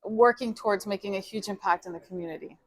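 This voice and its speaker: A woman's voice: medium pitch, fast talker, poor audio. A middle-aged woman from Cuba who grew up in Texas, a guest telling her story in a casual interview, chatty, with many ums and restarts. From Cuba, raised in Texas. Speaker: guest, media company founder.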